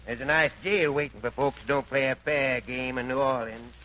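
An actor playing an officious, crooked, blustering sheriff with a stern folksy manner in a 1940s radio drama. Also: male voice, medium pitch, fairly even intonation, moderate pace, pushed and bright, close to an everyday voice, poor audio.

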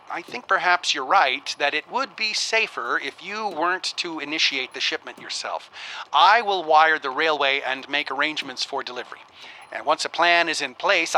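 A man's voice: a little high, bright, forceful tone. A man playing an earnest, worried scholar dictating a recorded message in a precise, thoughtful way. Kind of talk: radio drama recorded letter. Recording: faintly noisy recorded cylinder message.

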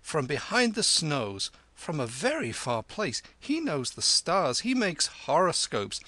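A sly young beggar boy, coaxing and flattering, as a man puts him on. The voice rises high and lively, bright at the top, and is heard close and dry. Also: measured pace, no room sound, exaggerated voice. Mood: boastful. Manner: wheedling, flattering. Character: cunning young street boy, wheedling.

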